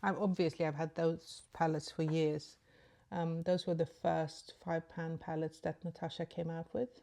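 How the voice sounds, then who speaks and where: Low, gravelly woman chatting makeup. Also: flat delivery, moderate pace, warm tone. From Sweden. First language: Swedish. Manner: rambling and chatty, many ums. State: sleepy in the early morning. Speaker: beauty vlogger. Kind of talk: casual makeup tutorial chat.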